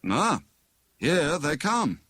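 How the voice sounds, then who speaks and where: A man's voice: a little high, big pitch swings, extremely slow, fairly bright tone, dry recording, studio quality, pitched above the performer's own voice, exaggerated voice. Man playing an authoritative, clipped British doctor. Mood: matter-of-fact. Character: big, authoritative male doctor, matter-of-fact.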